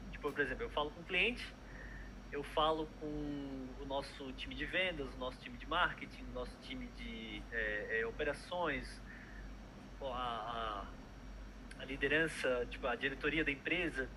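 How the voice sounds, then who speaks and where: A man's voice: medium pitch, background noise. A young man, a product manager chatting casually in an interview, hesitant with many fillers and restarts.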